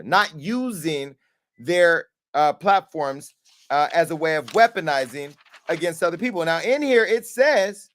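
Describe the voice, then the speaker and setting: Emphatic high-voiced gossip show host. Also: male voice, a bit bright. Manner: emphatic, confrontational, repeats points for effect. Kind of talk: livestream commentary.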